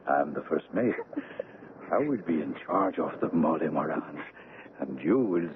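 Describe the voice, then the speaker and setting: An actor playing a possessive, superstitious, menacing ship's first mate, with intense, ominous delivery in an old-time radio melodrama. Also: male voice, extremely low pitch, lively intonation, normal speed. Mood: ominous, threatening. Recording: tinny, noisy old radio broadcast.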